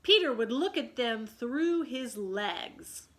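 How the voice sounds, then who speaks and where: A woman voicing excitable, simple-hearted young boys in a storybook. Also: on the high side, takes it slowly. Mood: eager.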